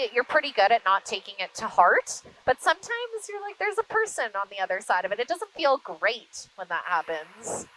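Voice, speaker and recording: A woman who works in broadcasting, answering interview questions conversationally in an animated storytelling way. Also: on the high side, slight background noise, rough recording. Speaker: interview guest, media broadcaster.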